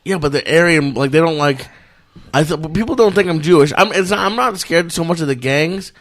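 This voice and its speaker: A man's voice: a little high, fairly quick. A man guesting on a podcast as a comedian, talking casually with lots of stammers and nervous, self-deprecating restarts.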